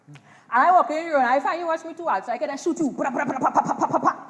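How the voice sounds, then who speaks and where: A high-pitched Trinidadian woman played by a stage actress, hot-headed and itching for a gun. She taunts and repeats herself in the manner of a live community play. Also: racing, big-room sound, natural voice. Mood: provocative. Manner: repetitive, taunting, mimics gunfire. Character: hot-headed, trigger-happy woman arguing for guns.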